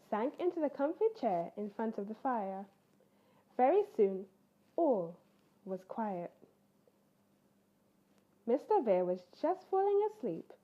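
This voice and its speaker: A woman with a fairly high voice reads a children's picture book aloud. Her delivery swings expressively up and down as she tells a bedtime tale. The recording is slightly dull and lo-fi. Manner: animated, acts out character voices.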